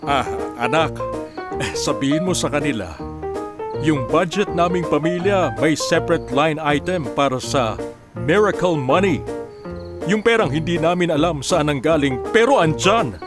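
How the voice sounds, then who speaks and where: An actor playing a gentle, bumbling middle-aged father with a Filipino accent, stammering through a comedic radio drama. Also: a little high, lively intonation, a little slow. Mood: mild, earnest. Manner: hesitant, stammering. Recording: music behind the voice.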